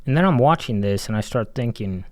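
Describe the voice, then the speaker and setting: A man's voice: medium pitch, expressive, fairly quick, no echo, clean studio sound. A man giving a casual, blunt and sardonic video essay critique as a game reviewer, with some swearing.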